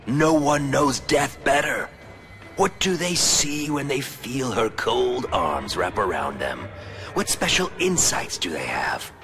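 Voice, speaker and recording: Gravelly game villain, sadistic mad killer, slow swooping taunts, music underneath. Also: male voice, medium pitch, very animated, fairly bright tone, full character voice. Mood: gleeful, sinister. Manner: theatrical, taunting, drawn-out delivery. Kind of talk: video game cutscene acting.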